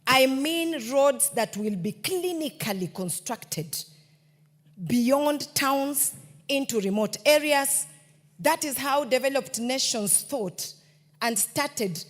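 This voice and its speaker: A woman with a Kenyan accent, a member of parliament giving a measured, deliberate speech to the Speaker. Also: fairly high pitch. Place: parliament chamber. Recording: reverberant parliament chamber.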